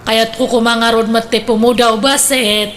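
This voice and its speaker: Over faint noise, an actress voices a nagging wife in a radio comedy. Her fairly high voice complains and needles with envious discontent. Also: level tone, moderate pace, a bit bright, close to an everyday voice. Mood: discontented, needling. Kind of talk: comedic radio drama acting.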